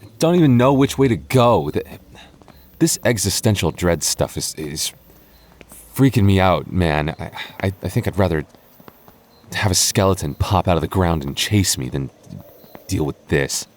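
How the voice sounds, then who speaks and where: A man's voice: sits low, animated, normal speed, a little hiss or hum. A young man voicing a goofy, doting goth boyfriend in an ASMR roleplay, talking in a stammering, self-teasing way with frequent laughs. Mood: uneasy. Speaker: voice actor.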